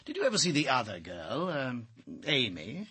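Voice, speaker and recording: An actor playing a brisk, hard-headed investigator in a radio drama. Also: male voice, mid-range voice, wildly swooping pitch, pushed and bright, husky, no echo, exaggerated voice. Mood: brisk, matter-of-fact.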